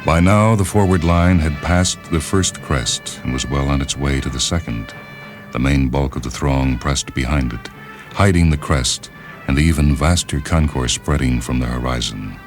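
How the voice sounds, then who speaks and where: A man reading literary fiction as a narrator, in a measured, deliberate and solemn dramatic style. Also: extremely low pitch. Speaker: narrator, short story reader. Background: background music.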